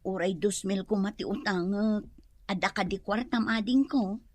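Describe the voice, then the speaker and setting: A woman's voice: mid-range voice, moderate pace, darkish tone, no room sound, close to an everyday voice. A woman with an Ilocano accent playing a worried, earnest mother who pleads for help in a radio drama. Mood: pleading. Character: worried, pleading mother seeking a loan, grateful.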